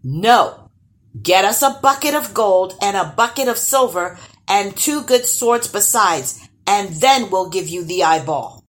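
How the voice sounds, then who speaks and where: A woman voicing a bold, cocky young boy hero who yells defiantly in a storybook tale. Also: low voice, sing-song, slow, full character voice. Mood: bargaining. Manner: defiant yelling.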